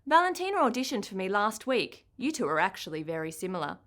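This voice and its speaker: A woman voicing a brisk, encouraging female ballet teacher in a storybook, polite but in charge. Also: medium pitch, big pitch swings, a bit bright.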